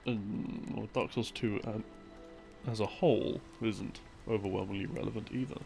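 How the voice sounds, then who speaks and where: A man telling a story in a rambling, intimate boyfriend-roleplay style, halting and musing as his thoughts trail off. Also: fairly low pitch, fairly even intonation, creaky, a little mellow. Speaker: audio roleplay performer playing a boyfriend. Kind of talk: rambling, improvised intimate storytelling of game lore. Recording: music behind the voice. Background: slow game theme music.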